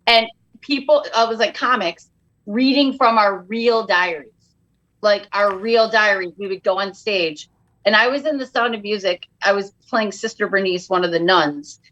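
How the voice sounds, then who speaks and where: A woman's voice: medium pitch, moderate pace, a bit bright, dull audio. A middle-aged woman comedian chatting as a podcast guest, telling self-mocking, jokey stories with lots of energy. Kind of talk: casual podcast chat.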